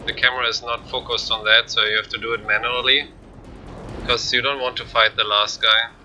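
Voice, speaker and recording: A man's voice: mid-range voice, level tone, brisk, music in the background. A man with a non-native English accent giving explanatory live commentary as he plays through a video game speedrun. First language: not English. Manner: explanatory, plain. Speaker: gamer, speedrunner.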